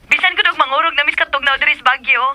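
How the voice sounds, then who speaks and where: A woman's voice: sits high, racing, pushed and bright, dry recording. A woman playing a breezy, casual young adult daughter in a radio drama, calling her mother. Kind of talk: radio drama acting, code-switching into English.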